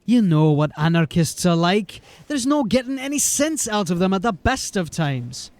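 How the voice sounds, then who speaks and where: A man's voice: sits high, big pitch swings, moderate pace, darkish tone, dry recording. A man playing a folksy, rambling, deferential corporal of a fantasy city watch in an audio drama. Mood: earnest. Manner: folksy, rambling, eager to please.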